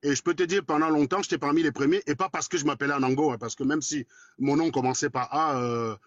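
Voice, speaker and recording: A quick-talking Cameroonian man in his forties. His voice is husky and a little raspy, and he talks bluntly, with crude words. The audio is dry and dull, like a lo-fi live stream. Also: a little high, fairly even intonation, a bit bright, dry recording. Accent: Cameroonian French. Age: 40s. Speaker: guest in a live debate. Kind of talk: casual live chat.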